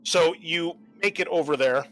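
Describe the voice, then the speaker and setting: A man with a bright, forward voice narrates a tabletop roleplaying game as game master. His delivery is informal and conversational, feeling out the wording as he goes. Music plays faintly behind him. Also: a little high, normal speed, poor audio.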